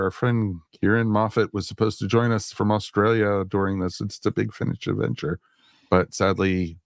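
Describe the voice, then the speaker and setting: Male podcast host, very low gravelly voice, dry close sound, loose conversational delivery. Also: no echo. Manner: conversational, repeats himself, peppered with "you know". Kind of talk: casual podcast chat.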